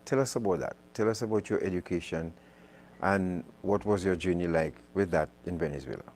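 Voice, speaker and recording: Low-voiced male radio host. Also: a little fast, darkish tone, slight background noise. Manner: conversational, repeats words while searching. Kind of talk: live radio talk and interviewing.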